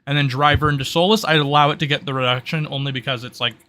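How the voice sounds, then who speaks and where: Bright-voiced male game master, some background noise. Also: mid-range voice, steady tone, fast. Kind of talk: explaining tabletop game rules in casual talk.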